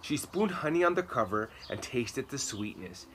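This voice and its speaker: A man in his thirties reading a children's story aloud expressively, with slow, emphatic words. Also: medium pitch, big pitch swings, fairly bright tone. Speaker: children's storytime reader and host. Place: outdoor garden patio. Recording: clear background noise, outdoor birdsong. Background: birds, outdoor ambience.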